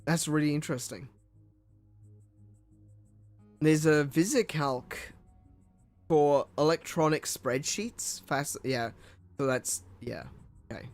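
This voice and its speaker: A man's voice: on the high side, measured pace, faint music behind. A young man and gaming streamer reading old computer ads aloud with his own commentary, tripping over words and laughing at himself.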